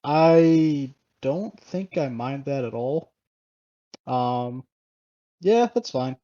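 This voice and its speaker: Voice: mid-range voice, animated, very slow, dark tone. A young adult podcast co-host chatting casually in a rambling, hedging way. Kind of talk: casual chat. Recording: dull lo-fi audio.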